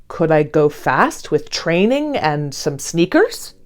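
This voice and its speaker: A woman's voice: low-pitched, big pitch swings, slow, pitched below the performer's own voice, full character voice. A woman voicing a pleasant, earnest male sloth character from a children's storybook, expressively. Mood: wondering.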